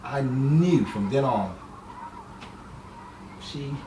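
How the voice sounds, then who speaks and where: A man's voice: medium pitch, animated. Man playing a wistful lovestruck romantic, echoey and distant. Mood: wistful, lovelorn. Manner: halting, wistful, dramatic pauses. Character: grieving lovestruck man recalling his lost love. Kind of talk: old-time radio romance drama monologue. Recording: echoey distant room, noisy amateur recording.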